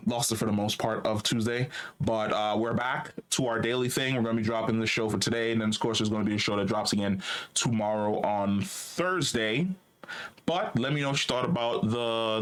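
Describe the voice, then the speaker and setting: A man's voice: medium pitch, fairly bright tone. A man hosting a gaming news show, talking loosely and chattily, swearing freely and repeating words while he gathers his thoughts. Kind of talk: casual gaming news commentary.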